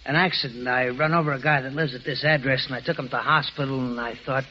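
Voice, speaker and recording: An actor playing a rough, defensive driver in a 1940s radio drama who talks in blunt, slangy speech. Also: male voice, mid-range voice, steady tone, fast, slight background noise, close to an everyday voice. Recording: dull old radio recording.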